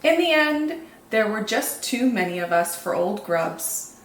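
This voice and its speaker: A woman reading picture books aloud as a children's storytime host, expressive and deliberate, with drawn-out dramatic emphasis. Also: a little high. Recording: echoey room, faint background noise.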